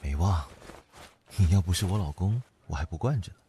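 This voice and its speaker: An actor playing an indulgent, unflappable company boss and older boyfriend, speaking calmly and dotingly in an audio drama. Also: male voice, low-pitched, brisk, slight background noise. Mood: fond teasing. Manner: calm, gently doting. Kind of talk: audio drama acting, intimate low-key talk. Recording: background music under the voice.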